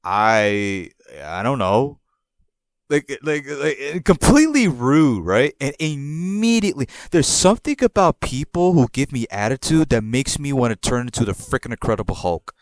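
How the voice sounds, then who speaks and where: A podcast host with a New York accent, telling a story in a rambling, profane, animated way. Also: male voice, mid-range voice, normal speed, no room sound. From born in Puerto Rico, raised in the New York area. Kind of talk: casual storytelling.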